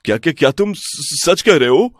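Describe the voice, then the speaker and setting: A greedy, easily fooled village priest as a man acts him in an animated folk tale. The voice leaps about in pitch and is dry and close. He sounds excitable and credulous. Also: normal speed, no echo, natural voice. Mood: amazed, credulous.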